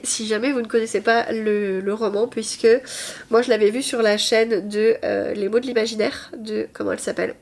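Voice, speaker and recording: A young woman talks in a relaxed, meandering way, her voice nasal and clogged from a cold. Her voice sits a little high, and she pauses often, restarts her sentences and laughs at herself as she chats. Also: gravelly. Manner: rambling, hesitant, self-mocking, many fillers. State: stuffy nose from a cold. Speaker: booktuber. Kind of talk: casual reading vlog chat.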